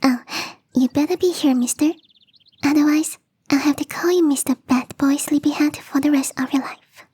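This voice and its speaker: Woman acting a high, breathy, half-whispering catgirl gardener. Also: fairly even intonation, normal speed, dark tone, lightly acted. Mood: playful, fond. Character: shy, playful young catgirl gardener at a mansion. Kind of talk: ASMR roleplay, intimate one-sided dialogue.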